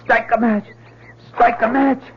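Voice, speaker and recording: An actor playing an ailing, urgent spy who poses as a doctor, with halting, breathless, repetitive speech in old-time radio drama style. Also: male voice, very high voice, steady tone. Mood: choking, desperate. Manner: halting, breathless, repeating words. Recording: muffled noisy old radio recording.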